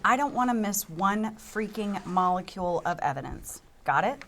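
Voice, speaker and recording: A woman playing a tough, all-business forensic team leader of about forty, brisk and commanding, at a table read. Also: mid-range voice, animated, fairly bright tone, a little hiss or hum, natural voice.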